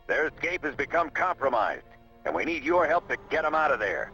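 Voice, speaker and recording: A man playing an authoritative rebel general, delivering a formal, measured military briefing in a video game. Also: fairly high pitch, sing-song, pushed and bright, exaggerated voice. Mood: grave briefing. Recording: muffled lo-fi game audio, music behind.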